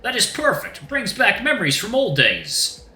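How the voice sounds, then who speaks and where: Man acting as old crow, stoic, formal, deliberate delivery, higher pitch, bright tone, storytelling. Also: huge pitch swings, reverberant, faint music behind, heavily acted. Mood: dry, deliberate. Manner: formal, deliberate, drops articles. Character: stoic, courtly old male crow fighter.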